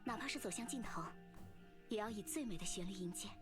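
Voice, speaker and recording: A woman's voice: medium pitch, expressive, fast, soft background music, close to an everyday voice. An actress playing a hopeful, poetic young female singer in a dramatic game cutscene. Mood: stirring, hopeful.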